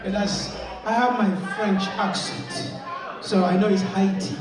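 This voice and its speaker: A man emcees a gala, calling up performers with playful, stretched-out announcements. His voice sits high and he speaks slowly. The sound is heavily echoed and picked up from far away in a large hall. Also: steady tone, crowd noise.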